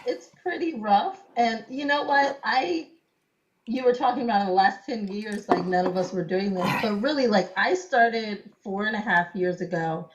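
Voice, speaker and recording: A woman's voice: medium pitch, normal speed. Chatty young woman, dull lo-fi sound. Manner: chatty storyteller, laughs often, many "like"s. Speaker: cosplayer guest. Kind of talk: casual interview chat.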